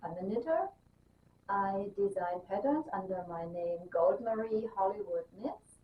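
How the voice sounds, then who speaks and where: A woman's voice: medium pitch, unhurried. Chatty woman, roomy distant sound. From Germany. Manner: chatty and enthusiastic, with frequent ums and restarts. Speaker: knitting designer and podcast host. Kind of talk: casual chat. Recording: roomy, distant, dull sound with faint hiss.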